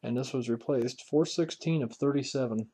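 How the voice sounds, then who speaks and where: A man's voice: medium pitch, moderate pace, dark tone, husky. Folksy man talking through a hands-on repair. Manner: folksy, musing aloud while handling parts. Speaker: hobbyist radio restorer presenting a repair video. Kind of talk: casual unscripted hands-on walkthrough.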